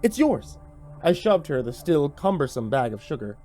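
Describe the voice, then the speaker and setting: Animated man narrating a horror story. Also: fairly high pitch, normal speed, soft background music. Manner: theatrical, expressive. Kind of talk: dramatic first-person scripted narration, acting out dialogue.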